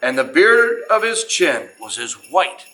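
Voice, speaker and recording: An American man reads a holiday poem aloud like a storybook, with dramatic phrasing and pauses. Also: on the high side, sing-song, bright, forceful tone, faint music behind. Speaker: mayor reading a holiday story to viewers. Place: children's museum.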